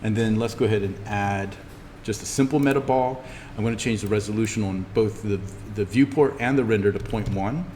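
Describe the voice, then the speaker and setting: A man teaching an informal in-class software tutorial, thinking aloud haltingly as he demonstrates. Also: fairly low pitch. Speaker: instructor teaching 3D animation software. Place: classroom, indoors. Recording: echoey room with background noise.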